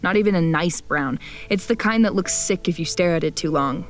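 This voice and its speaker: A woman's voice: lowish voice, a little fast, close to an everyday voice. A woman playing a wary, grumpy young traveler who narrates an audio drama in a blunt, wry way. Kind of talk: first-person audio drama narration and dialogue. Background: music.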